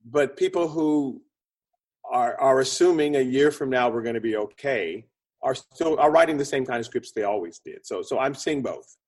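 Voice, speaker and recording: A man's voice: mid-range voice, animated, normal speed, darkish tone, breathy edge. A middle-aged or older man giving casual interview answers as a guest, chatty and explanatory, thinking aloud as he goes. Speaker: podcast guest, film producer and script consultant.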